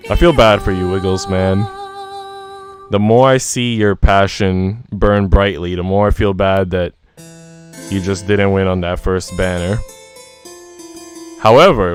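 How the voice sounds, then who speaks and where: A man live streaming in a joking, teasing, slangy way, switching between casual chat and reading story narration aloud. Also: on the low side. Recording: background music under voice. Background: music.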